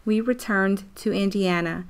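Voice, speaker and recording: A woman's voice: mid-range voice, fairly even intonation, measured pace, background noise, lightly acted. A woman acts as an adult narrator of a family radio play. She looks back on her childhood in a reflective storytelling manner, with a mid-range voice.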